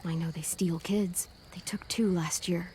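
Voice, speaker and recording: Woman acting a mellow, wary teenage girl survivor. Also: fairly low pitch, steady tone, a little slow, slight background noise, lightly acted, low-quality recording. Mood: subdued. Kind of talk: naturalistic video game drama acting.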